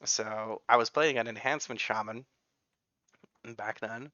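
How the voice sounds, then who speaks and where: Bright-voiced American man chatting over gameplay. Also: lowish voice, a little slow, no room sound, lo-fi sound. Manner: chatty, rambling, self-correcting. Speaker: gaming YouTuber. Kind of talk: casual gameplay commentary.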